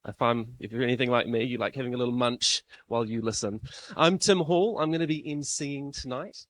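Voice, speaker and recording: A man's voice: mid-range voice, a little fast. A man in his thirties with a New Zealand accent, emceeing a live event in a classroom-style room, chatty and self-deprecating.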